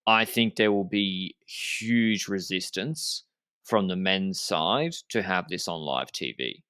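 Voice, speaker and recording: Low, clear-voiced man podcasting. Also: slow pace, pushed and bright, dry recording, clean studio sound. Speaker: podcast host. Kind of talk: casual podcast discussion.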